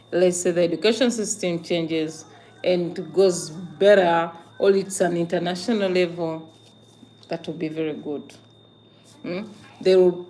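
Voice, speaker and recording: A woman's voice: fairly low pitch, a little mellow. A woman from Uganda with a Ugandan accent hosts a casual livestream, talking to viewers emphatically, with repeated phrases, rhetorical questions and questioning hums. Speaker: livestream host.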